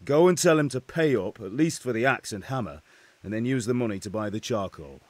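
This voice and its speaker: A man's voice: mid-range voice, animated, brisk, a little mellow, dry recording, natural voice. An actor playing a middle-aged blacksmith father in a medieval game, blunt and commanding. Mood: firm, businesslike. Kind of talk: dramatic video game dialogue.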